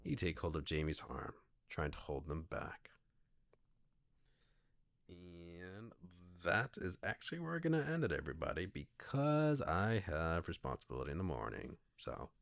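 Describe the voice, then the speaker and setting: A man's voice: low-pitched, sing-song, normal speed, vocal fry, fairly bright tone, dry recording. A man hosting a livestream, switching between reading a visual novel aloud and chatting to viewers. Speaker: streamer, host. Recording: faint music under the voice. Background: music.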